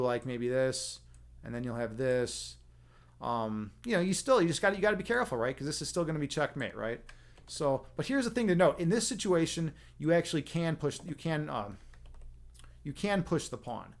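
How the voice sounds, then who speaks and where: A man hosting a casual livestream, explaining chess lines as a teacher, rambling with fillers and frequent tag questions. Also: fairly high pitch.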